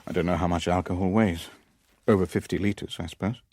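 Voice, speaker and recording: A pragmatic businessman in a radio play. His voice is low and sits in a dry studio sound. He talks in a measured, wry, understated way. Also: fairly even intonation, a little mellow, no room sound. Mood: wry, candid. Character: pragmatic, wry male businessman, sceptical and worldly. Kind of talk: radio drama dialogue and first-person narration.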